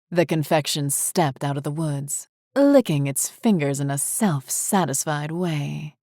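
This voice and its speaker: A woman's voice: sits low, a little mellow, no echo, studio quality. A woman reading a scripted horror story as its narrator, with music behind her. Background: faint music.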